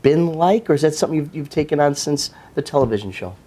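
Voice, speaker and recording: A man's voice: a little high, animated, fast talker, mellow. A man hosting a backstage interview, asking enthusiastic, rambling questions. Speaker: interview host. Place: backstage room at a theater.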